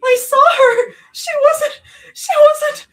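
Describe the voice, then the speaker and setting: A woman voicing a panicking young woman who sobs and pleads in a high, cracking voice. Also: steady tone, takes it slowly. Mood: sobbing panic.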